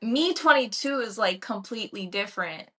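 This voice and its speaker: A woman's voice: medium pitch, lively intonation, normal speed, a bit bright. A woman in her twenties co-hosting a casual podcast, chatty and supportive, filling her speech with "like" and "you know". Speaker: podcast co-host. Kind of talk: casual chat.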